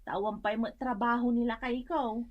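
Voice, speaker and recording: An actress playing a poor, plaintive neighbour in a radio drama, complaining and pleading with an Ilocano accent. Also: female voice, a little high, fairly even intonation, normal speed, lo-fi sound, close to an everyday voice. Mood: wistful, hinting. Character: poor, plaintive neighbour woman hinting for food.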